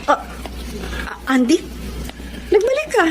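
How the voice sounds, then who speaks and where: Filipino accent, caring encouraging friend, high voice, expressive radio drama, faint background noise. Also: female voice, slow pace, heavily acted. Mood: surprised concern. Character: caring, encouraging female newspaper colleague and friend.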